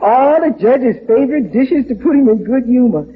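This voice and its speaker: An actress playing a cheerful, wisecracking housekeeper and cook, in the theatrical style of 1940s radio comedy. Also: female voice, fairly high pitch, expressive, moderate pace, natural voice. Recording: tinny, narrow, hissy old radio recording.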